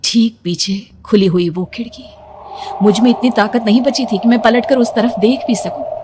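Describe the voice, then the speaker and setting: A young woman narrating a horror cartoon story in the first person, hushed and suspenseful. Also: a little high, normal speed, slight background noise. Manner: hushed, suspenseful, rising to frightened.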